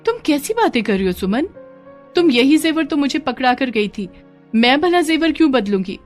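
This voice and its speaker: A brazen young Indian-family daughter-in-law from a cartoon moral story , acted out by a woman. She speaks high and sharp, defensive and boastful, over faint music. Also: sing-song, moderate pace. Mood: protesting.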